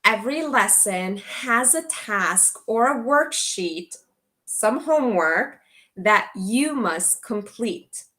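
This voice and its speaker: A woman teacher from Guatemala, giving a slow, clear orientation to an online English as a course. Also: fairly high pitch, animated, pushed and bright, low-quality recording. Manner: slow, deliberate delivery for language learners.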